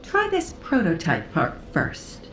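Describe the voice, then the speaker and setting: An actress playing a coolly wry equipment researcher in a video game, analytical and dryly teasing. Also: female voice, low voice, huge pitch swings, slow, heavily acted. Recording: echoey, faint music behind.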